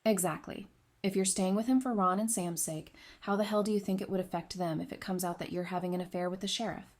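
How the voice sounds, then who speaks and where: A woman's voice: fairly low pitch, steady tone, fast, close to an everyday voice. A woman playing a frank, loyal and caring friend in an audio drama. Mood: stern, confronting.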